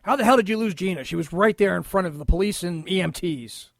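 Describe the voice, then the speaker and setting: An actor playing an impatient, commanding police lieutenant in an audio drama, gruff and demanding. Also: male voice, sits high, quick pace, dry recording, studio quality. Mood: angry, exasperated.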